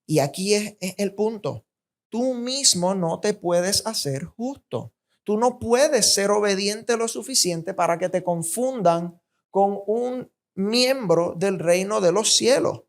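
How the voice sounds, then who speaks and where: Preacher, female, Puerto Rican accent. Slow delivery, deliberate and emphatic sermon style with repetition for weight. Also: medium pitch, animated. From Puerto Rico.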